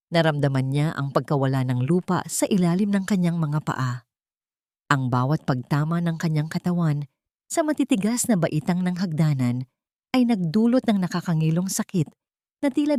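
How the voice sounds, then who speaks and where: A woman with a slightly low voice narrates a dramatic audiobook. Her delivery is solemn and measured, and the sound is very dry, with no room echo. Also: darkish tone. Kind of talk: dramatic scripted story narration.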